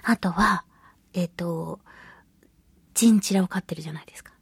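A woman hosting a solo radio show, chatting in an animated, self-deprecating storytelling manner. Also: on the low side, moderate pace, husky, no room sound. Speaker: radio show host and voice actress.

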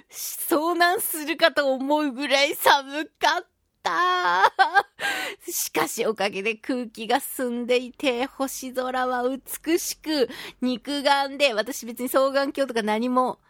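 A woman with a high-pitched voice hosts a casual solo radio talk. She tells stories in an animated way, sprinkling in sound-effect words and mock-polite turns of phrase. The sound is close and dry, with very little room on it. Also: takes it slowly, dry recording. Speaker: radio show host.

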